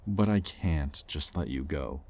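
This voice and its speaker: A man playing a possessive, conflicted demon in an intimate audio roleplay, with halting, sardonic delivery and secret tenderness. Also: extremely low pitch, expressive, creaky, a little mellow, exaggerated voice. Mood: pleading, regretful. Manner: intimate, halting, sardonic, with sighs and muttered curses. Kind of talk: dramatic audio roleplay addressed to the listener.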